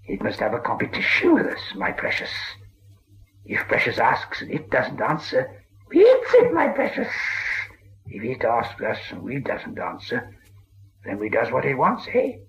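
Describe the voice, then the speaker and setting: A man's voice: medium pitch, wildly swooping pitch, a little slow, creaky, fairly bright tone, highs cut off, heavily acted. A man voicing a sly, hissing cave creature who whispers and wheedles as he talks to himself. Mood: wheedling.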